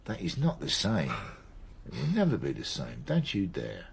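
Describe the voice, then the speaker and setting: A hard-nosed detective in a radio drama. The voice is low and husky with very animated pitch. He is dry and mocking, needling whoever he questions. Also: normal speed, full character voice. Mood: stern. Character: sardonic, hard-nosed male police detective sergeant. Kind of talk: naturalistic radio drama dialogue.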